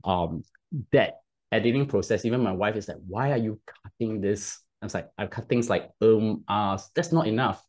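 A man's voice: lowish voice, normal speed, darkish tone. A man in his thirties with a Singaporean accent, a podcast guest explaining at length on a video call with a headset, often restarting and adding "right?". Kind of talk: casual interview talk. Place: home room, video call.

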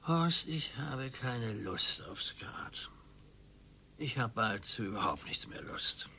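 An actor playing a bored, grumpy older retired husband with a weary, gruff manner and a husky voice. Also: male voice, medium pitch, animated, takes it slowly, pushed and bright, slight background noise, exaggerated voice. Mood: listless. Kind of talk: radio play acting.

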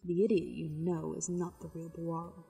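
A woman's voice: lowish voice, flat delivery, moderate pace, creaky, dark tone. A woman playing a proud, grave demon warrior in an audio drama, explaining things solemnly. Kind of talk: dramatized webcomic audio play. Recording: long reverb with music behind.

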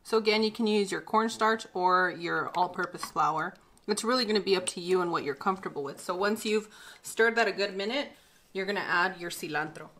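A woman in her thirties hosting a casual cooking demonstration in a bright home kitchen, chatty and upbeat, laughing often. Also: medium pitch, brisk, slight background noise. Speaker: cooking show host.

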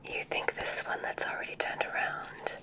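A woman whispering gently and deliberately in an ASMR roleplay as a kindly witch examiner, giving soft instructions in a breathy voice. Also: low-quality recording.

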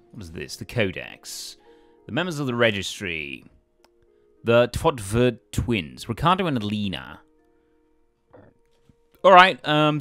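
A man's voice: medium pitch, a bit bright. A man hosting a let's play with casual, hesitant commentary, thinking aloud as he goes.

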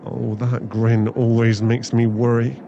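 Slow, grumbling nervous guy played by a man. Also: medium pitch, flat delivery. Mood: nervous, resigned. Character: nervous, grumbling male friend, resigned to being bossed around. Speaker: voice actor in an audio roleplay. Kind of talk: ASMR boyfriend audio drama. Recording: dull sound, clear cabin noise. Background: vehicle and cabin ambience.

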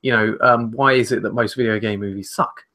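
A man's voice: fairly low pitch, steady tone, fairly quick, sharp tone. A young man co-hosting a podcast, talking casually and carefully qualifying his points. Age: young adult. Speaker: podcast co-host. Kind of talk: casual discussion. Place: home room with shelves.

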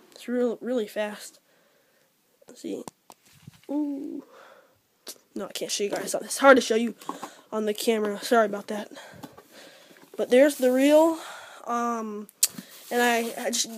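A teenage boy with an American accent talking casually and a little haltingly as he shows things to a handheld camera at home. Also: extremely high pitch, no echo. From Georgia, United States. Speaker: fishing vlogger. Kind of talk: casual unscripted product showcase. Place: room at home. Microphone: handheld camera at arm's length.

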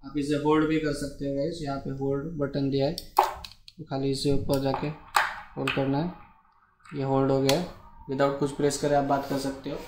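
Flat-toned man demonstrating a product, echoey room. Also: medium pitch, moderate pace, pauses often. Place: echoey showroom with product displays. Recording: roomy echo with faint background noise.

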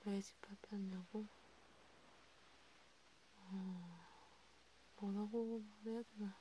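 A woman whispering softly in a halting, conversational way, as in an ASMR counseling roleplay. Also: mid-range voice, steady tone, low-quality recording. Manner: hushed, slow, halting, conversational. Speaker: ASMR creator playing a counselor. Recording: noticeable background hiss.

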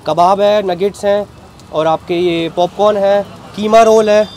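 A man's voice: high voice, steady tone, unhurried, crowd noise. A young man running a street food stall, politely explaining his menu items and their protein counts in detail. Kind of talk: casual explaining to a food vlogger.

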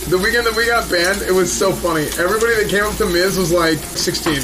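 A young man hosts a casual livestream from a restaurant table. He talks very quickly in a slightly high voice, while food sizzles around him. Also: poor audio. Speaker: livestream host. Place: busy Korean barbecue restaurant, indoors.

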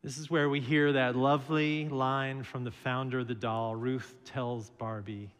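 A middle-aged man preaches from a church pulpit, his mid-range voice unhurried and measured as he weaves small stories into the sermon. The pulpit mic picks him up in a large sanctuary with a long reverb trailing behind. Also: medium pitch, little pitch movement, clean tone. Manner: slow, measured preaching with storytelling asides. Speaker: minister. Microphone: pulpit microphone.

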